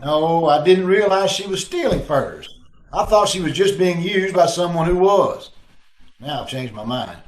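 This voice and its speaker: Man acting a high-pitched, cocky radio sleuth. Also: lively intonation, unhurried. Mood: defensive, explaining. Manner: glib, bantering. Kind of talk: 1940s radio detective drama acting. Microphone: video call. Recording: roomy, echoing video-call audio.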